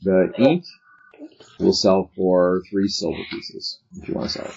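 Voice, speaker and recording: A middle-aged or older man with a gravelly voice, patiently explaining things as game master in a casual tabletop game. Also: sits low, measured pace, darkish tone. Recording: dull sound with faint background noise.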